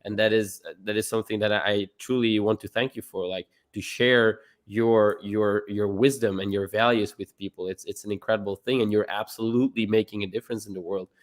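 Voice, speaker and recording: A man's voice: fairly low pitch, steady tone, a little fast, few pauses. Earnest young man from Belgium. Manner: earnest, repeats words when starting sentences. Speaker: guest. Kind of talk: casual live-stream conversation.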